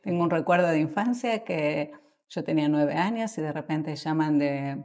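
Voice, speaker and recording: Podcast guest: Argentine woman, middle-aged, low and creaky, halting, reflective conversational delivery. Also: darkish tone. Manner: thoughtful, halting, with mid-sentence pauses. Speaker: guest expert in psychotherapy. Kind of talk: conversational podcast interview answers.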